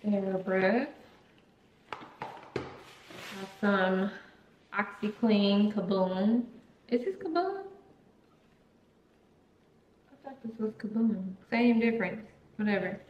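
A woman's voice: mid-range voice, slow pace, long pauses, breathy edge, dull audio. A young woman in her twenties chatting casually and playfully in her apartment kitchen as she films a vlog on a handheld phone. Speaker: vlogger.

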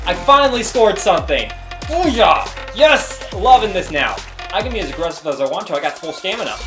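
A man hosting a gaming video, giving slangy, exclamatory, self-hyping play-by-play of his own game. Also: on the high side. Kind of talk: excitable gameplay commentary.

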